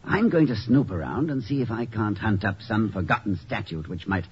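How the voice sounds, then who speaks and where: An actor playing a doting, dreamy college president in a 1950s radio drama, with a flustered, stammering, genteel way of talking, on a muffled old recording. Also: male voice, fairly low pitch, lively intonation, fairly quick, slight background noise, lightly acted. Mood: eager, scheming. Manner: flustered stammering, effusive, genteel turns of phrase.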